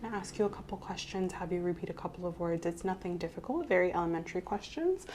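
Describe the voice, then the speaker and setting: A soft-spoken woman playing a doctor in an ASMR exam roleplay, methodically asking questions in a reassuring way that often trails off quietly. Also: fairly low pitch, animated, quick pace, a little hiss or hum. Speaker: ASMR creator playing a doctor taking a medical history. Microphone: clip-on mic.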